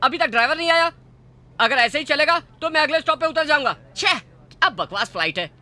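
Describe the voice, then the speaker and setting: An actor playing a pushy, impatient, flirtatious male matchmaker in a comic dubbed film, with excitable exclamations and abrupt swings. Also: extremely high pitch, brisk, exaggerated voice. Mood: annoyed, impatient. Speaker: airline passenger and matchmaker.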